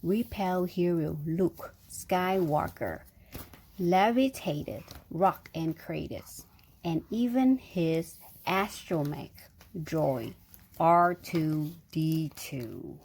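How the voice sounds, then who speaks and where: A woman's voice: low voice, extremely slow, a little mellow, breathy edge, rough recording. A woman reading a storybook aloud to a young child, breaking off for chatty, engaging asides and questions. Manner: engaging, prompting with questions and sound effects.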